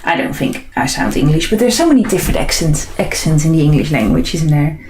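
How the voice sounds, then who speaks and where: A woman's voice: low-pitched, very animated, quick pace, a little mellow, slight background noise. A woman with a Dutch accent, an artist and host chatting casually while she sketches, musing and meandering with frequent ums and restarts. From Netherlands.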